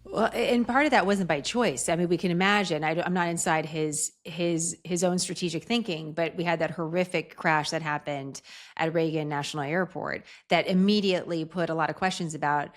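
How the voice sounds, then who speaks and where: Woman, American accent, slightly lower pitch, news host, probing, informal, conversational interviewing. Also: steady tone, fairly quick.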